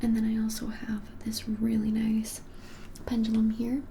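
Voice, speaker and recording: Whispering young woman, soft and mellow. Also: on the high side, monotone, slight background noise. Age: 20s. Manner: soft whispering, hesitant and unhurried. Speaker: ASMR creator playing a witch shop keeper. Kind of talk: ASMR roleplay, whispered. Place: small plain-walled room, indoors.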